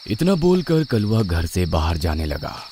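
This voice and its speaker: A man's voice: low voice, sing-song, measured pace, dark tone, slight background noise. A man telling a scripted horror story as a dramatic narrator. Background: music.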